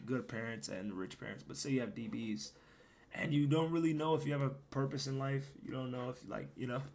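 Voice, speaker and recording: A young man, hoarse from losing his voice, giving casual, profane commentary over his gameplay and rambling between plays, sometimes breaking into loud yells. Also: medium pitch. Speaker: gaming streamer.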